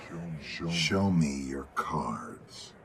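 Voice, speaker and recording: An actor playing a desperate, hard-drinking gambler and robber in a video game, rough, pleading and blustering. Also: male voice, extremely low pitch, lively intonation, drawn-out words, slight background noise, exaggerated voice. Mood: cajoling.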